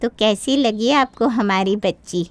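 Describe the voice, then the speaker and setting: A woman's voice: mid-range voice, measured pace, close to an everyday voice. A woman voicing an earnest middle-aged mother of a bride, eager to please, obliging and insistent, in a Hindi cartoon story. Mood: proud, obliging.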